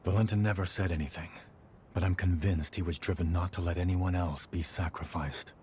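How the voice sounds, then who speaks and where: A low, grave male voice for a battle-worn video game warrior, played by an actor. He is brooding and regretful, speaking in terse, measured lines. A faint rumbling noise sits behind him. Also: fairly even intonation, darkish tone, natural voice. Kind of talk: dramatic video game acting.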